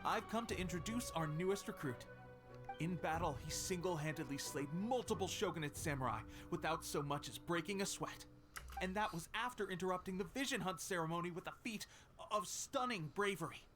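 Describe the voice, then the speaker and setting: A man's voice: high voice, a little fast, music in the background, lightly acted. A man playing an eager, friendly young soldier in an anime fantasy game, earnest and chatty. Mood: upbeat, proud.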